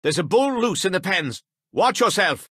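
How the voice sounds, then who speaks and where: Husky, animated male mission commander. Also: sits high, normal speed, dry recording, clean studio sound, full character voice. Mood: urgent. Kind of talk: dramatic video game dialogue.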